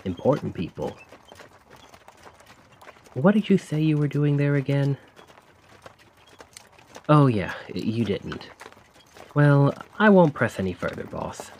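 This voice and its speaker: A man's voice: mid-range voice, very animated, slow, vocal fry, long pauses, a little mellow, slightly rough, exaggerated voice. A man playing a chatty, cheeky stand-in carriage driver in an audio roleplay, talking casually and awkwardly to the listener with sly insinuation. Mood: slyly probing. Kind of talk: ASMR roleplay acting, addressing the listener.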